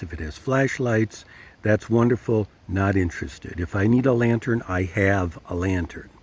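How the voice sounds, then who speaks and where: An older man presenting a casual product review, speaking deliberately and repeating key phrases for emphasis. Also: lowish voice, darkish tone, husky. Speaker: host reviewing gear.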